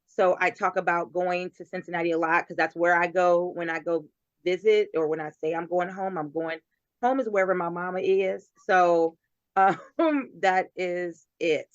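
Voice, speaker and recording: A woman's voice: fairly low pitch, steady tone, normal speed, dry recording. A chatty woman in her forties tells her story with easy laughs, her sentences restarting as she goes. The recording is dull and dry, with no room sound around her. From Covington, Kentucky. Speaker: guest poet. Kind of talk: casual interview talk.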